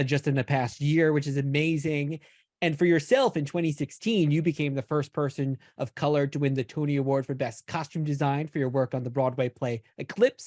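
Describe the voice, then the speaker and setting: A woman interviewing a guest, asking friendly, measured questions. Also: sits low, a little mellow, no echo, clean studio sound.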